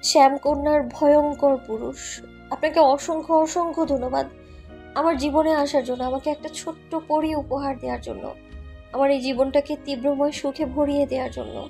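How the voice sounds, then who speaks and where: A woman playing a young wife in a romantic audio drama. Her voice is high, coy and affectionate, full of playful adoration for her husband. Faint music runs underneath. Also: steady tone, brisk, vocal fry, warm tone. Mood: loving. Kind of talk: audio love story acting.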